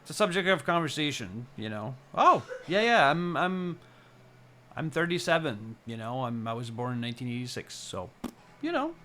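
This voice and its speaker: A man in his forties chatting casually as a live streamer, halting and repeating words as he rambles. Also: medium pitch, big pitch swings, a bit bright, slight background noise. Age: 40s. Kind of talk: casual chat with stream viewers.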